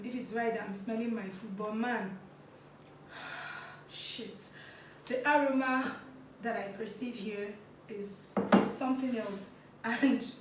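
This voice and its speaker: A fairly high-voiced woman chats to her viewers while snacking, giggling and crunching between thoughts. Her voice comes from a step back from the mic and rings in a very echoey room, with a faint hiss underneath. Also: distant mic. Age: 20s. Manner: chatty, giggly, talks between crunchy bites. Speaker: vlog host. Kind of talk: casual talk while eating on camera.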